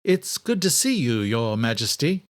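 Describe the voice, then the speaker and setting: A man's voice: medium pitch, sing-song, measured pace. A man voicing a submissive, scheming male courtier in an audiobook, careful and deferential.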